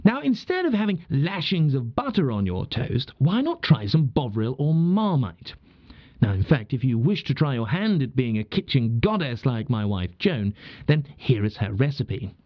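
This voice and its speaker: A man's voice: mid-range voice, sing-song, normal speed, dry recording, muffled recording. A man playing a genial wartime British radio broadcaster from 1940, speaking formally but chattily in old-fashioned phrases, with a British accent. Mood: chatty, genial. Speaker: radio host. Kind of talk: scripted 1940s radio acting.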